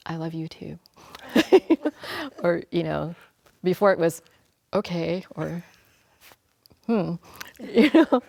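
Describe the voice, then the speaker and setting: A woman's voice: on the low side, no echo. A woman on a panel sharing personal stories in a chatty way with frequent fillers. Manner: warm, chatty, many fillers, laughs. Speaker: panel speaker. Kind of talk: panel discussion.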